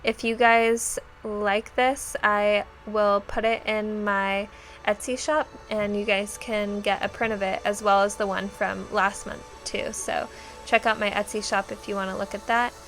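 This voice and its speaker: A woman's voice: mid-range voice, flat delivery, measured pace, fairly bright tone, faint music behind, poor audio. A young woman who sounds nasal as she gets over a cold, chatting casually with many ums and likes as she narrates her journal spread. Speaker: bullet journal vlogger.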